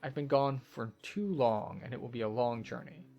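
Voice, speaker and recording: A man's voice: medium pitch, moderate pace, creaky, a little mellow, highs cut off, close to an everyday voice. A man voicing a grateful, homesick quest giver in a fantasy video game, emphatic and relieved.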